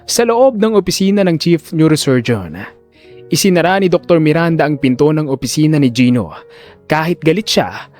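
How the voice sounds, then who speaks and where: Animated male drama narrator. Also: a little high, moderate pace, soft background music. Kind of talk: dramatic scripted story narration.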